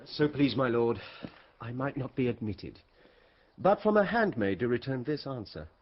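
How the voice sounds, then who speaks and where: An actor playing a deferential gentleman messenger giving a formal, declamatory report in a Shakespeare radio drama. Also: medium pitch, animated, moderate pace, lo-fi sound, lightly acted.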